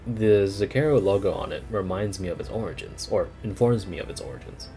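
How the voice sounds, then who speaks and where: A man's voice: fairly low pitch, a little fast, warm tone, soft background music. A man reading a game story aloud as a first-person narrator.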